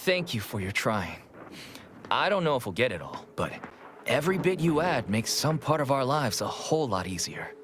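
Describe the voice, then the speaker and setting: Man acting folksy male worker, swinging pitch. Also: sing-song, background noise, natural voice. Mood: grateful. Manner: folksy, halting. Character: plain-spoken, earnest male factory worker, weary spokesman. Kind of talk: video game dialogue.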